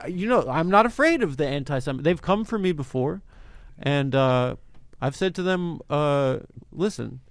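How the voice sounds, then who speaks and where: A man guesting on a podcast, talking in a deadpan, self-deprecating, mock-indignant way. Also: on the high side, unhurried. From born in Santa Monica, grew up in Las Vegas. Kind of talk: deadpan casual chat.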